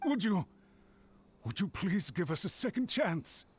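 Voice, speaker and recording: A man voicing a highly strung, suspicious retainer of a noble lady in a video game, formal and emphatic. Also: very animated, measured pace. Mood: apologetic, pleading. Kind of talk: English video game voice acting.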